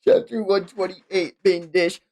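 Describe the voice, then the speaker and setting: A man's voice: high-pitched, big pitch swings, a little slow, darkish tone. A man streaming a casual manga read-along, narrating with amused, sweary commentary. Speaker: streamer reading manga aloud.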